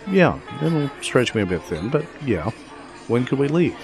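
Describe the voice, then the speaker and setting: A man's voice: mid-range voice, very animated, normal speed, over music, lightly acted. An actor playing a polite, courteous male college professor of about fifty in an audio drama.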